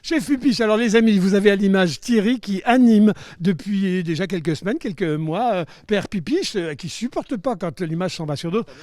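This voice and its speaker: A man with a fairly high voice hosting a live interview outdoors by the sea. He teases his guest with playful questions. The sound is dry and close. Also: lively intonation, normal speed, few pauses, a little mellow, dry recording. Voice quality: playful, teasing. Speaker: host. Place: outdoors by the seafront.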